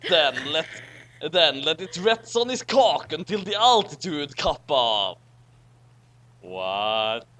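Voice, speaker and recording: A man giving a halting, theatrical comedic reading of a story as co-reader. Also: fairly high pitch, big pitch swings, sharp tone. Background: music.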